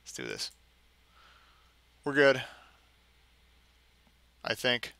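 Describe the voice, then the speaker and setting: A man's voice: mid-range voice, huge pitch swings, drawn-out words, vocal fry, bright, forceful tone, no room sound. A man hosting a gaming stream, mixing casual commentary and mock dramatic reading with sarcastic, self-deprecating asides.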